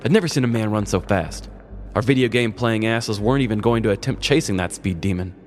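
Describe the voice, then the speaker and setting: A man's voice: lowish voice, fairly quick, faint music behind. A man, a podcast host, narrating a scripted comic story in a wry, dramatic storytelling manner.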